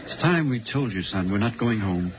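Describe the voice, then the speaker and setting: An actor playing a calm, gentle, solemn father in a 1950s radio drama. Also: on the low side, normal speed, close to an everyday voice. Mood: grave. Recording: dull 1950s radio recording, faint noise.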